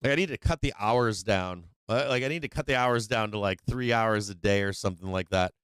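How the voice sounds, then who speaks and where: A man's voice: fairly low pitch, a little fast, a bit bright, clean tone, no room sound. A man hosting a live stock market stream, casual and slangy, rambling with many fillers and restarts, saying he is losing his voice.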